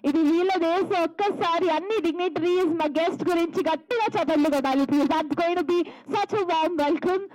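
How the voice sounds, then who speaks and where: A woman's voice: very high voice, a little mellow, poor audio. A woman with an Indian accent, hosting on stage and effusively calling for applause. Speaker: event host, emcee.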